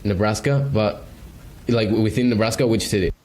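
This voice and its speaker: A man from Argentina pitching agency services on a video call, hesitant and full of fillers. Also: moderate pace, a little mellow, slight background noise. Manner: hesitant, filler-heavy, casual. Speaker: beginner agency salesman.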